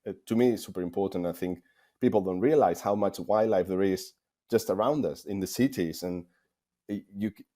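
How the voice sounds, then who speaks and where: A man with a Spanish accent and a deep, relaxed, dark voice. He speaks as a game developer giving conversational interview answers, working out his phrasing as he goes and often repeating words. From Spain.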